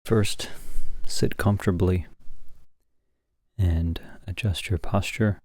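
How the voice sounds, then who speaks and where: A man leading a guided meditation as a teacher, speaking calmly and gently in short phrases with long pauses. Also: deep voice, no room sound, studio quality.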